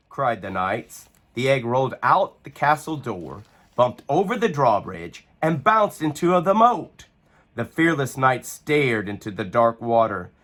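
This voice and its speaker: A man reading a children's picture book aloud with expressive, animated storytelling. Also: mid-range voice.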